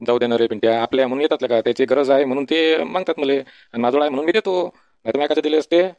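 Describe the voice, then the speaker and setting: Man as a quick-talking, big-hearted young villager. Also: mid-range voice, a little mellow, dry recording, lightly acted. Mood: easygoing. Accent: Varhadi Marathi. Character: generous, easygoing young village do-gooder. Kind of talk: comedy cartoon dialogue. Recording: dull, lo-fi sound.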